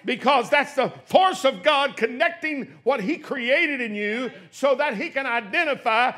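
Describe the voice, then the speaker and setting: A man in his sixties preaching a sermon in a church, swinging from hushed, quick asides to shouted exclamations. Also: extremely high pitch, animated. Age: 60s. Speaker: pastor. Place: church sanctuary.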